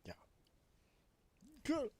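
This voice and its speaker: A man introducing himself casually and hesitantly as a guest on a livestream. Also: drawn-out words. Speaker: musician and voice actor.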